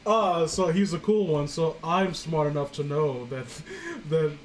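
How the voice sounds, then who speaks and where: A woman's voice: low-pitched, measured pace, a little hiss or hum, poor audio. A woman hosting a casual comedic reading, joking crudely and irreverently as she riffs with friends. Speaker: host of a story-reading show.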